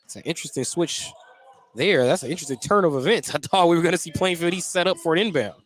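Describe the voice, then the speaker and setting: A man's voice: a little high, fast talker. A man calling a live basketball game on the radio as the play-by-play announcer. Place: at courtside or press position in a gym during a game.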